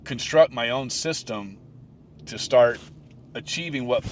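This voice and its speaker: A man's voice: medium pitch, measured pace, a little hiss or hum. A man in his late forties talking alone on his own podcast, rambling with many restarts and fillers. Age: 40s. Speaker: podcast host. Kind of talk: solo reflective podcast talk.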